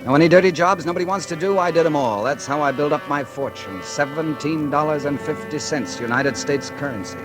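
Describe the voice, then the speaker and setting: A man's voice: fairly high pitch, brisk, hardly any pauses, natural voice. An actor playing a bitter, world-weary ex-convict who narrates his own story in 1940s radio acting style. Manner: wry, hard-bitten first-person narration. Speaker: narrator and lead character. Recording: old radio broadcast with music.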